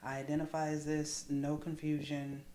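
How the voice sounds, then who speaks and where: An American woman in candid casual conversation, speaking in an emphatic, expressive, colloquial way. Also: deep voice, moderate pace. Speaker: podcast conversation partner. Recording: roomy echo with clear background noise.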